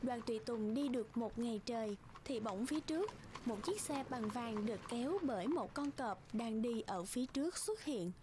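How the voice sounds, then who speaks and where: High-voiced woman narrating a fairy tale. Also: animated, normal speed, noisy background.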